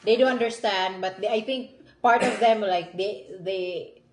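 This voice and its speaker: A woman's voice: mid-range voice, unhurried, a crowd in the background. A young woman with a Filipino accent, a native Bisaya speaker, chatting casually at home for her family vlog. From Philippines. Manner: chatty, switches between English and Filipino. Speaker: vlogger. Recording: echoey room.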